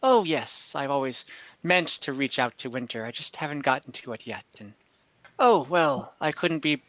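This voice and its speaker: Guy roleplaying a scheming old-hand adventurer, earnest n ominous, bright voice, dull call audio. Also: medium pitch, expressive, moderate pace, no room sound, natural voice. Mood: sly, coaxing. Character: weary scheming adventurer recruiting warriors for a divine war. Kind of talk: in-character tabletop roleplay. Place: room at home, on a group video call.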